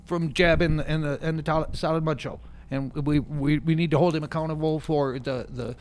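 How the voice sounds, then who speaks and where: A man's voice: fairly high pitch, steady tone, moderate pace, clear, resonant tone, lightly acted. A man playing a pushy, flustered lawyer in an improvised radio comedy, stammering and repeating himself insistently. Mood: insistent, wheedling. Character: pushy, flustered male celebrity lawyer hawking lawsuits.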